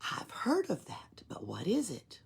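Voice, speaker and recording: A woman voicing a small, curious male pet lizard, talking mostly in a soft whisper. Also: sits low, huge pitch swings, normal speed, slightly rough, heavily acted. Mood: wondering.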